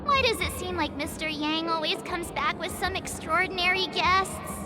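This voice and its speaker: A young woman voicing a bubbly, cheeky girl adventurer in a game, with loud music behind. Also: very high-pitched, normal speed. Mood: exasperated. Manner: perky, animated, playfully smug.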